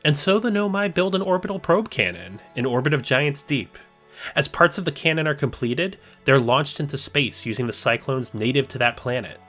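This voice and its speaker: A man narrating a scripted video essay in a measured, explanatory way. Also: fairly high pitch, animated, a bit bright, soft background music.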